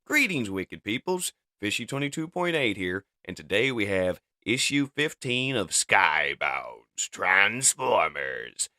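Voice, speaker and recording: A man's voice: deep voice, pushed and bright, dry recording. A man hosting and narrating a comic dub in a theatrical, scripted storytelling style.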